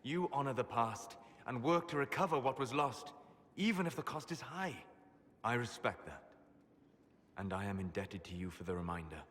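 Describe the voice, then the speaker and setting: An actor playing a solemn, courteous, melancholy scholar and wanderer in a dramatic game scene, speaking in a measured, formal way. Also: male voice, medium pitch, expressive, a bit bright, big-room sound, close to an everyday voice. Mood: respectful.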